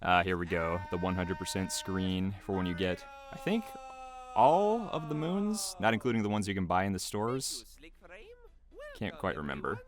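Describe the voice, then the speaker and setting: A man's voice: low-pitched, huge pitch swings, moderate pace, soft background music. A man giving casual behind-the-scenes commentary as a video creator, rambling loosely in a small homemade booth.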